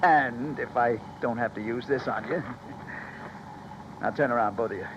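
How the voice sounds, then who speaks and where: An American actor playing a cocky, menacing armed robber who barks orders in gangster slang, in a 1950s radio crime drama. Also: male voice, medium pitch, moderate pace, natural voice. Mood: gloating. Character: menacing armed stick-up man, cocky and gloating. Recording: noisy muffled vintage radio recording.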